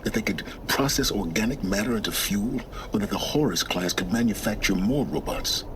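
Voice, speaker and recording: Man acting imperious middle-aged scholar, very low voice, measured, impatient, game drama, noisy ambience behind. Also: animated, natural voice. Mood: curt. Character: aloof, impatient male scholar of ancient ruins.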